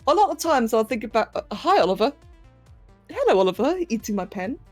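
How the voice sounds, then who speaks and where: Young woman streamer, casual chat to viewers, raised pitch, faint music behind. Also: sing-song, brisk, darkish tone. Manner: chatty, greets viewers, laughs often, asides to her pets. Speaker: livestream host. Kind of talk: casual chat with live chat while sculpting.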